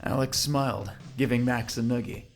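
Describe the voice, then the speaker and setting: A man's voice: medium pitch, steady tone, normal speed, soft background music. A man narrating an audio drama from a script.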